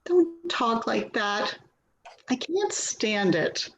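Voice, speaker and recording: A woman's voice: on the high side, sing-song, extremely slow, a bit bright, heavily acted, low-quality recording. A woman playing an anxious, hurt grown daughter in a dramatic play reading. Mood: pleading.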